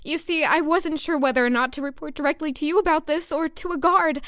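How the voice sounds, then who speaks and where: An actress playing an earnest, nervous young palace maid who narrates and speaks in a first-person audio drama. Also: female voice, sits high, steady tone, a little fast, fairly bright tone, no echo, clean studio sound. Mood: nervous, apologetic.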